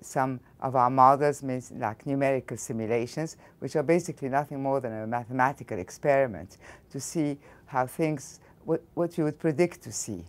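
A woman's voice: extremely low pitch, moderate pace. Egyptian-accented woman explaining her research. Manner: thoughtful, with frequent restarts. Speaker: physics professor. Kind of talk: interview answers. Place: studio interview against a backdrop.

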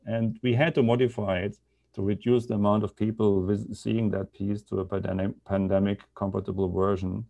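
A middle-aged man with a German accent giving an informal academic talk over a video call, haltingly thinking aloud. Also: fairly low pitch, flat delivery, vocal fry, mellow, dull audio. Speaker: presenter, media artist and professor. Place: indoor room on a video call.

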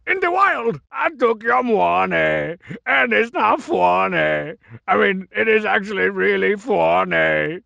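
An actor playing a cocky, scheming cartoon masked wrestler in a video game, boastful and mocking, with a gravelly, raspy voice. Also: male voice, high voice, expressive, takes it slowly, pushed and bright, no room sound, dull audio, heavily acted. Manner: boastful, mocking, swaggering wisecracks. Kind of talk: video game character barks.

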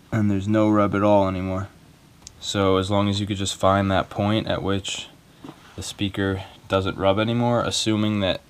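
A man's voice: sits low, slight background noise. A man talking casually and a little hesitantly through a hands-on repair tutorial, unscripted and informal. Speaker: video maker giving a repair tutorial. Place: small cluttered carpeted room indoors.

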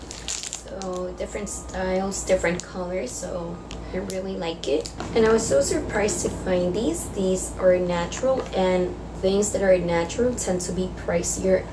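Young woman vlogging, voice raspy from a cold, chatty and quick, some hiss in the room. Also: mid-range voice. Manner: chatty, quick product run-through. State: raspy voice from being sick. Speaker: beauty vlogger. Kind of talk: casual haul vlog. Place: small indoor room against a plain wall.

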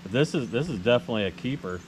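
A man's voice: mid-range voice, animated, rapid-fire, rough recording. A middle-aged American man giving casual, folksy, rambling commentary to viewers as he fishes. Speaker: fishing vlogger and tournament angler. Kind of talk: casual running commentary to camera. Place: outdoors on a small boat on a lake. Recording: outdoor action-camera sound with heavy ambient noise.

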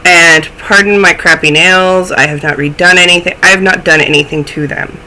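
A woman's voice: fairly low pitch, steady tone, normal speed, pushed and bright, poor audio. A young woman hosting a knitting podcast from home, chatting casually to a webcam with a stuffy, snuffly voice and self-deprecating asides. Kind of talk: casual chat to camera. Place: small room at home, indoors.

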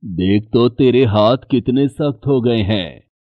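A man voicing a gentle, fatherly middle-aged bangle maker in a cartoon story. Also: medium pitch, slow pace, no room sound, narrow, tinny audio, natural voice. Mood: warm. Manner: calm, fatherly.

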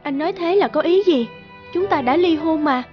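A woman voicing a hurt, resolute young ex-wife in an animated moral tale, measured and pointed, in slightly muffled sound. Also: high voice, faint music behind, lightly acted. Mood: bittersweet, reproachful.

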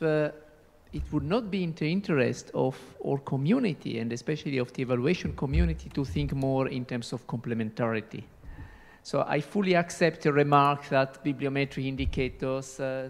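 A man in his forties speaks into a handheld mic from the audience of a big lecture hall. His middle-pitched voice carries a long reverb from the room. He halts, repeats words and phrases things a little unusually. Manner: hesitant, repeats words, non-native phrasing. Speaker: audience member asking a question. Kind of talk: conference Q&A comment. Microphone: handheld microphone. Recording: echoey hall.

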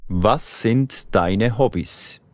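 A man reading short lesson dialogue lines slowly and clearly. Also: on the low side, a little mellow, long reverb tail. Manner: slow, clearly enunciated phrases. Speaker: German dialogue speaker. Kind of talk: slow scripted language-lesson dialogue.

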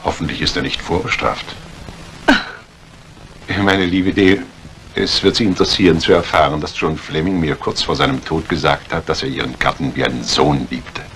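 An actor playing a courteous but probing doctor in a retro radio crime drama, speaking formally. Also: male voice, a bass voice, fairly even intonation, moderate pace, fairly bright tone. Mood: sceptical. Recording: noisy old radio recording.

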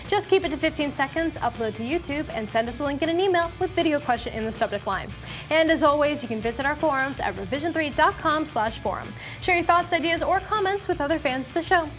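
A woman's voice: sits high, fairly bright tone. A young American woman co-hosting a tech show in a casual, playful, informal way. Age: young adult. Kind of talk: casual studio presenting and banter. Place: indoor TV studio at a desk. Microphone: lapel mic.

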